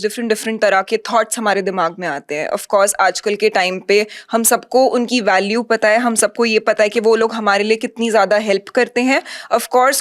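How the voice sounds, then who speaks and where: Higher-pitched woman hosting. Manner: conversational, mixes in English words. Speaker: interview host. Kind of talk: conversational interview hosting.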